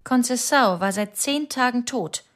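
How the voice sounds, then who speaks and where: A woman's voice: on the high side, normal speed, heavy background noise, lightly acted. An actress playing a shaken woman who secretly tails a killer, narrating a radio play in a sober, report-like way. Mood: bleak, factual.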